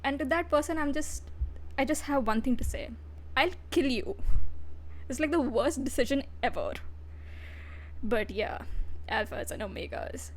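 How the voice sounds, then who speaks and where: High-voiced woman, blunt and profane. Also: unhurried, long pauses, slight background noise. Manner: blunt, profane, with giggly asides. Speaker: VTuber reviewer. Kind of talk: casual livestream review.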